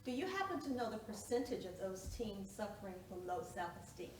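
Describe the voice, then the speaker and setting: A woman's voice: medium pitch, very animated, fairly quick, close to an everyday voice. A woman playing a formal lawyer in a courtroom stage drama, putting probing questions to a witness. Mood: pointed, probing. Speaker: actor in a one-act play. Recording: echoey room, distant mic, noisy.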